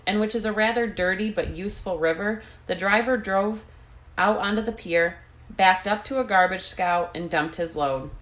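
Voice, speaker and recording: Expressive woman reading a storybook aloud. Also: lowish voice. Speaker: storybook reader. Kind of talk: expressive read-aloud narration of a children's book.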